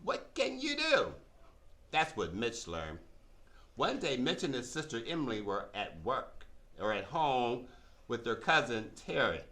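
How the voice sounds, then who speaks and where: Slow, animated male children's narrator. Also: medium pitch. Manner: instructive, emphatic, addressing children. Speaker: narrator of puppet show. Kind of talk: scripted children's storytelling narration.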